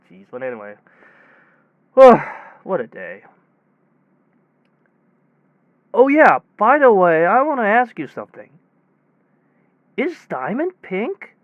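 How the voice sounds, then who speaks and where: Man acting a high-voiced, weary, rambling technician. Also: no room sound, exaggerated voice. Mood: weary, puzzled. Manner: rambling, halting, animated storytelling with sighs. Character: weary, exasperated male air-conditioner technician telling a bizarre tale. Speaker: voice actor in a self-written audio drama. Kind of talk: conversational monologue audio drama, as if on a phone call.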